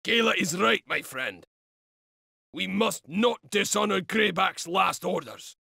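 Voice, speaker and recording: An actor playing a wild wolf clan warrior in a fantasy game, with a strained, raspy, manic voice. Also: male voice, sits high, lively intonation, slow, pushed and bright, no echo, studio quality, full character voice. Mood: earnest. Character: wild, maniacal male wolf clan warrior, eager to kill. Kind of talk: dramatic fantasy game voice acting.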